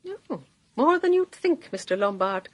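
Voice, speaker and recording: Prim schoolmistress, worried, high and lively voice. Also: female voice, unhurried, no echo, full character voice. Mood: anxious.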